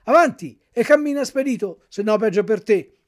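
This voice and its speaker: A man's voice: high voice, animated, moderate pace, pitched above the performer's own voice. A man voicing stern military policemen in a storybook reading, giving curt, soldierly orders. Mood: commanding. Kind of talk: audiobook character reading.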